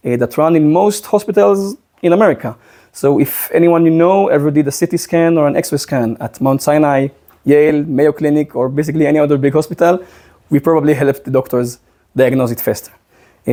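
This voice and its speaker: Mellow-voiced Israeli man giving a talk. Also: a little high. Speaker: guest speaker, presenter. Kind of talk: public presentation to a student club.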